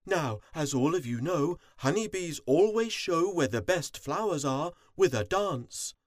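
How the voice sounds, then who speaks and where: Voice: medium pitch, animated, unhurried, dry recording, clean studio sound, voice pushed up, lightly acted. A storybook narrator voicing a cross, bossy queen bee who commands with regal authority. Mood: regal, commanding.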